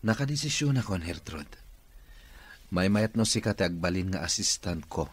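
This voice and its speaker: An actor with an Ilocano accent playing an ailing, commanding business boss in a radio drama, authoritative and deliberate. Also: male voice, deep voice, lively intonation. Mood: decided, reassuring.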